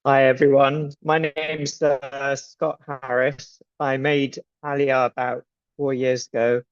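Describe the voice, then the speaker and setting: A man's voice: medium pitch, little pitch movement, slow pace, dry recording. A man from the UK, introducing himself a little hesitantly as a webinar panellist. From United Kingdom. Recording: dull, lo-fi online call audio.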